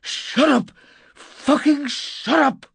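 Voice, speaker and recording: A man's voice: medium pitch, lively intonation, normal speed, a little mellow, breathy edge, dry recording. A man voicing a volatile, blunt, aggressive and desperate man in an audiobook. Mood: furious, screaming. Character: volatile, foul-mouthed man, angry and desperate.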